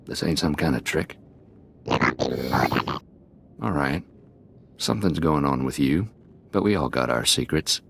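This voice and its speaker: An actor playing a rough, sly prison gang boss in a video game, talking with folksy, slangy swagger. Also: male voice, very deep voice, animated, slow, pauses often, a bit bright, a little hiss or hum, heavily acted. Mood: wary.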